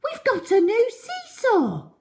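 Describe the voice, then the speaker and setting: An excited small schoolchild from a storybook played by a woman reader clamours eagerly. The voice is squeaky and very high, bouncing up and down. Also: takes it slowly, full character voice. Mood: eager excitement.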